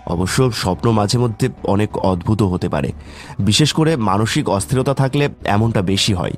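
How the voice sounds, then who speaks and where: A man with a very deep voice narrates a horror audio story in the first person. His delivery is dramatic and builds suspense, and faint music plays underneath. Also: normal speed. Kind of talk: scripted horror story narration with acted dialogue. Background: background music.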